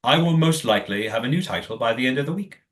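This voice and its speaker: A man acting as a composed and secretive master of a closed community in an audio drama. He speaks formally and evasively, with a mid-pitched voice and lively, swooping intonation. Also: quick pace, close to an everyday voice. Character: calm, secretive male leader of a night-dwelling commune.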